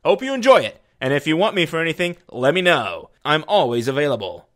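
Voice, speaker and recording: A man's voice: on the high side, big pitch swings, a little fast, fairly bright tone. A man, an amateur voice actor, delivering casual scripted narration for a voice reel.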